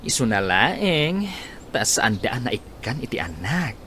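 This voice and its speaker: A woman's voice: a bass voice, big pitch swings, a little slow, fairly bright tone, a little hiss or hum, full character voice. A woman playing a chatty, gossipy, supportive friend in a radio drama, full of exclamations. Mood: gossipy, encouraging. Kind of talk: Philippine radio drama acting.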